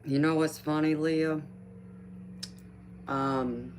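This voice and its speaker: Slow, easygoing woman in her forties. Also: sits low, fairly even intonation, darkish tone, a little hiss or hum. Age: 40s. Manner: easygoing, answers viewer comments by name. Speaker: tarot reader. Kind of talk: casual live-stream chat with viewers.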